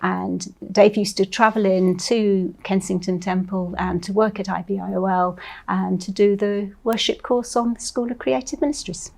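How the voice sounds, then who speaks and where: Female guest, middle-aged, English accent, gravelly creak, polite and conversational. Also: medium pitch, steady tone, moderate pace, few pauses. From England. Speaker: interview guest. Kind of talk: casual chat.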